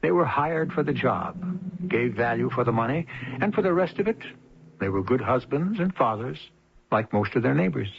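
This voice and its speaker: A man's voice: medium pitch, expressive, moderate pace, vocal fry, background noise. A man hosting a radio drama with scripted narration in a wry, rhetorical, literary manner. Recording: dull old radio recording.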